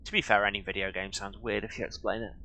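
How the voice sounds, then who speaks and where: A man's voice: lowish voice, level tone, fast, bright, forceful tone, slight background noise. A man giving casual, jokey commentary while playing a video game, with wry, rambling asides. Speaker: video game let's player. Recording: game music behind the voice. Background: video game music.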